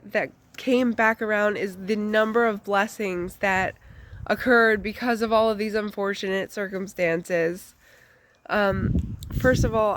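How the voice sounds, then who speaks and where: A woman's voice: mid-range voice, dry recording. A woman giving a candid, casual life update to her channel, chatty with lots of fillers, her voice congested from a recent flu. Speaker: vlogger.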